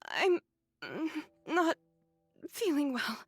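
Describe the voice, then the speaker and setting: A girlish young female android in an anime game. She is very high-pitched and highly expressive, with lively swings in pitch. The sound is dry. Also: takes it slowly, no room sound, soft background music, full character voice. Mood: queasy.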